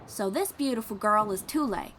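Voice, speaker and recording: A woman's voice: medium pitch, expressive, normal speed, very noisy, natural voice. A woman playing a friendly young traveling bard in an audio roleplay, encouraging and reassuring, with a folksy drawl and casual phrasing. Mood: fond teasing. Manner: encouraging, reassuring, gently guiding. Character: friendly young female traveling bard who teaches riding. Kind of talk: second-person audio roleplay acting.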